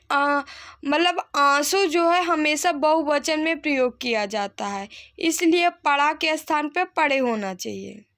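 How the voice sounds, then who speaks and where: Bright, high-pitched woman teaching slowly. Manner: didactic, repeats sentences and asks then answers her own questions. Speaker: Hindi grammar teacher for exam candidates. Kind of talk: instructional lesson, reading sentences and correcting them.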